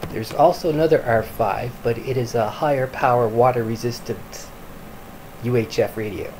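Halting man reviewing gear, noisy recording. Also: medium pitch, a little mellow. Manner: halting, piecemeal delivery. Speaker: product reviewer. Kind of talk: informal screen-recorded review. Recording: clearly noisy home recording.

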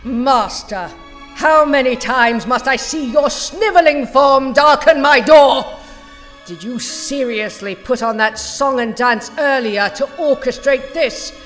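A woman's voice: high voice, takes it slowly. A woman playing a haughty, short-tempered villain scientist in an audio drama, theatrical and scornful. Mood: irritated, scornful. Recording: long hall reverb, music underneath.

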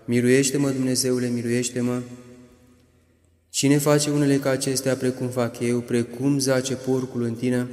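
A man reading prayers aloud in a solemn, measured, liturgical manner, lifting his voice on each plea. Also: mid-range voice, flat delivery, a little mellow, reverberant, in a large hall. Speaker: prayer reader.

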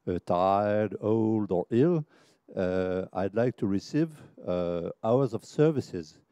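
A man in a conference audience asking a long question, hesitant with many pauses and restarts. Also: fairly low pitch, lively intonation, warm tone, dry recording, lo-fi sound.

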